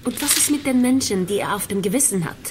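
An actress plays a vengeful woman in an audio crime drama. Her middle-register voice is bitter and accusing. Clear background noise surrounds it. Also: mid-range voice, expressive, fast talker, natural voice. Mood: bitter, hushed. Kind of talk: German radio crime drama acting.

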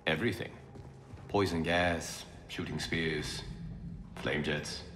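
An actor playing a stern, steady male monk warrior who speaks calmly and with command. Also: a bass voice, slow pace, slight background noise, exaggerated voice. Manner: calm, commanding. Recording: roomy game cutscene, loud score.

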